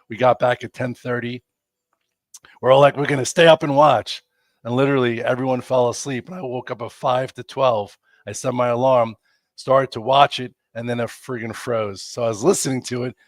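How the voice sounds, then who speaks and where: A man's voice: mid-range voice, no room sound. Congested New man talking markets. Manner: chatty, rambling, many fillers and restarts. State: congested, losing his voice. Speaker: stock market show host. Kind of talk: casual live market commentary.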